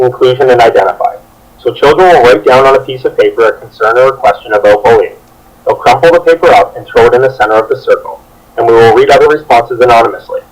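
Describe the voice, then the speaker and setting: A man's voice: mid-range voice, breathy edge, poor audio. A young adult man reading out a scripted presentation as a student teacher. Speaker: student teacher presenting a children's book project.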